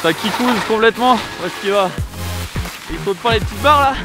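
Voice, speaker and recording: A man with a high voice drops dry, teasing comments between runs on the slopes. He is recorded out in the open on a helmet-mounted action camera. Loud music plays behind him. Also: lively intonation, normal speed. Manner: wry, teasing remarks. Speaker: freeride skier filming with friends. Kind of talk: casual banter while skiing. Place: outdoors on snowy ski slopes.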